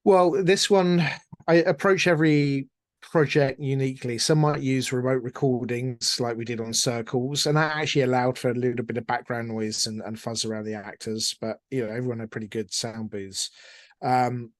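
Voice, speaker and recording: Middle-aged man, mid-pitched, wry and chatty interviewee, very dry close sound. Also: steady tone, moderate pace, dry recording. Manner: wry, self-deprecating, chatty. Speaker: interview guest, audio drama producer. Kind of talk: casual interview storytelling.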